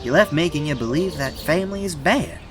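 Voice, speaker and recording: A man's voice: fairly high pitch, expressive, brisk, roomy sound, big-room sound, music in the background, close to an everyday voice. A man voicing an indignant young boy bunny in an amateur cartoon dub, with a folksy, sassy drawl. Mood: scolding. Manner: folksy, sassy, scolding drawl with y'all and darn. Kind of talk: scripted amateur comic dub dialogue.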